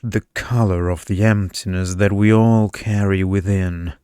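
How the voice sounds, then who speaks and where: Man playing a deep, droning energy vampire. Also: fairly even intonation, a little slow, dark tone, clean tone, dry recording, clean studio sound. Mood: pompous droning. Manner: dramatic, philosophical droning. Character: smug, monotone male energy vampire, pompous and boring. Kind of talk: slow seductive ASMR storytelling.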